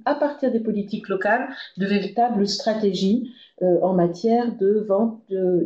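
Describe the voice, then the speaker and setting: A woman giving a semi-improvised expert presentation on a web conference, halting often with drawn-out hesitations. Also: medium pitch, darkish tone. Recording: dull, echoey web conference audio.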